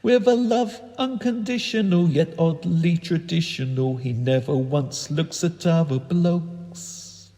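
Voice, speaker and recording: A man performing comic spoken-word poetry in a theatrical, whimsical and deliberate manner. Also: on the high side, dark tone. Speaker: performance poet.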